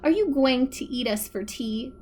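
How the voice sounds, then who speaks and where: A woman voicing an excitable, nervous young boy in a storybook, exclaiming breathlessly. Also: high voice, moderate pace. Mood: alarmed.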